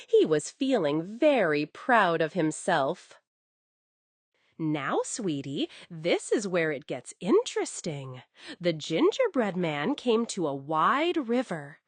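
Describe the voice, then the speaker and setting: A woman narrates a children's bedtime story in a coddling, expressive manner. Her pace is slow and her pitch rises and dips dramatically. The sound is dry and close. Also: no echo.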